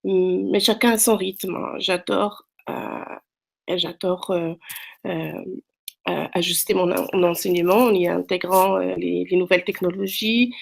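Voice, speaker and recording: Middle-aged woman with a gravelly voice. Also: fairly low pitch, fairly even intonation, measured pace. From Morocco. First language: French, also speaks Arabic. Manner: hesitant, frequent fillers and restarts. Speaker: French tutor. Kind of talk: self-introduction to prospective students. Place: Quebec, Canada.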